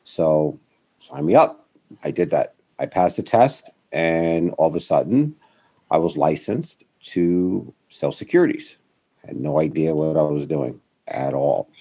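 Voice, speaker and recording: A middle-aged man speaks as an interview guest in a very low, mellow voice with a husky edge. He rambles and corrects himself, and he livens up when he mimics other people. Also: sing-song, measured pace. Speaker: guest, founder of a financial firm. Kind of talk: conversational interview storytelling.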